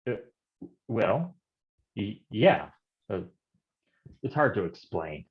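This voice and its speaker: An actor playing a hard-boiled male private detective in a noir staged reading, gruff and wisecracking in old-time gumshoe slang. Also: lowish voice, sing-song, slow pace, phone-quality sound. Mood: gruff, protective.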